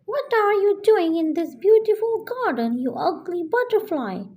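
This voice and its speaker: A woman's voice: very high-pitched, expressive, measured pace, darkish tone, voice pushed up. A woman voicing a vain, arrogant young princess in a children's story, with a haughty, dramatic delivery. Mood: angry scorn.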